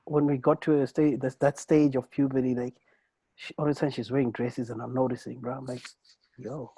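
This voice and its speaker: A man co-hosting a casual podcast chat, rambling and halting with many restarts and "bro"s. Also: medium pitch, flat delivery, warm tone, breathy edge, no echo, poor audio. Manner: rambling and halting, many restarts, peppered with "bro" and "you know what I'm saying". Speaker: co-host.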